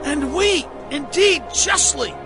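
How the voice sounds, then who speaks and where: A man playing a repentant, humble dying criminal in a dramatized scripture reading, halting, strained and pleading. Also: extremely high pitch, animated, creaky, heavily acted. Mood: rebuking, remorseful. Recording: background music under the voice.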